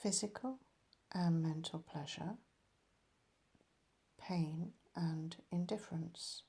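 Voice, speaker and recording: A woman leading a guided meditation as a teacher, calm and measured, letting her phrases end softly. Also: fairly low pitch, vocal fry.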